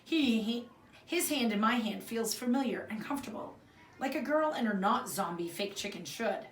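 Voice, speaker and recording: An expressive woman reads a children's storybook aloud in the manner of a primary school teacher. The sound is roomy and a little distant from the mic, with faint noise behind her. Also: fairly high pitch, moderate pace. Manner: expressive, acts out the dialogue. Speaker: fourth grade teacher reading aloud.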